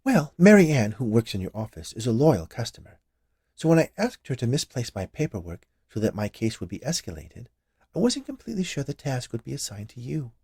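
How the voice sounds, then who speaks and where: A man's voice: mid-range voice, huge pitch swings, brisk, a little mellow, slightly rough, dry recording, clean studio sound, voice pushed up, heavily acted. A man voicing a century-old witch confectioner in a story reading, gushing and theatrical with a vengeful streak. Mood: coy, gushing. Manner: gushing, theatrical, overly polite. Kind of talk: dramatized short story reading.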